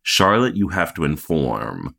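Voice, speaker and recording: A man's voice: extremely low pitch, very animated, unhurried, creaky, sharp tone. A man co-hosting a podcast in a casual, conversational recap style, acting out imagined reactions as he goes. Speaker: podcast co-host.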